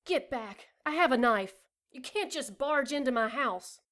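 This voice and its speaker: A woman's voice: sits high, expressive, a little slow, fairly bright tone. A woman playing a frightened but defiant pregnant young woman in an audio drama, emotional, naive and protective.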